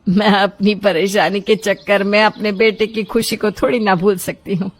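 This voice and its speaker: A repentant middle-aged mother, voiced by a woman storyteller, with a breathy, husky voice at a medium pitch. She is sincere and emphatic, a devoted parent in a storybook drama. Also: fairly even intonation, moderate pace, natural voice. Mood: remorseful, tender. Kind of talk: acted storybook dialogue.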